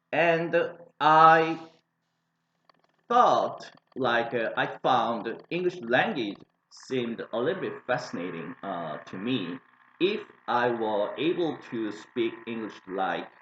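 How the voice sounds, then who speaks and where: A man in his forties with a Korean accent, speaking English as a second language, talking about his life in a hesitant way with many pauses and restarts. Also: fairly low pitch, a bit bright, roomy sound, dull audio. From South Korea. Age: 40s. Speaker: English teacher. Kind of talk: casual personal monologue.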